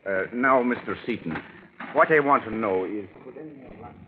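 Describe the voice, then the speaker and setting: An actor playing a brusque, skeptical police captain who questions suspects bluntly, in 1940s radio style. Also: male voice, medium pitch, expressive, moderate pace, close to an everyday voice. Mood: probing. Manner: blunt, interrogating. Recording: scratchy, muffled 1940s radio recording.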